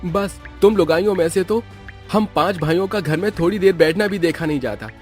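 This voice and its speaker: A man's voice: high-pitched, fairly quick, faint music behind, lightly acted. A man voicing an irritable, bitter working-class village husband in a cartoon story, sarcastic and defensive. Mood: angry, sarcastic. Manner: sarcastic, grumbling. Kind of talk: Hindi cartoon story acting.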